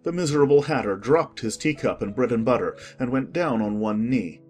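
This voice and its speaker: A man narrating a storybook in a lively, expressive manner. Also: medium pitch, a little fast, faint music behind.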